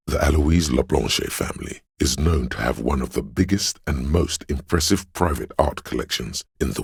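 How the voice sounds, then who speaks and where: A learned, pedantic man's inner voice narrating trivia in a video game. The pitch is extremely low, with heavy gravel in it. The delivery is measured and matter-of-fact, recorded very dry and close. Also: no echo, full character voice. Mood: scholarly, dry. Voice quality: very deep, gravelly, husky. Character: pedantic encyclopedic inner voice, a deep-voiced know-it-all man.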